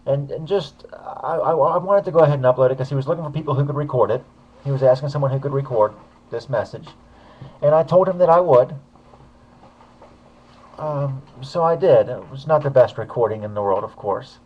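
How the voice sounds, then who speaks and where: A man's voice: medium pitch, brisk, slightly rough, lo-fi sound. A man casually commenting on a video he uploaded, talking hesitantly with many fillers.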